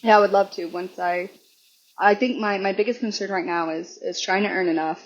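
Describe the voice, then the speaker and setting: A hoarse woman guesting on a podcast, chatting casually and rambling with restarts and laughs. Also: mid-range voice, fairly even intonation, brisk. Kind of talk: casual chat. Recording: dull lo-fi recording.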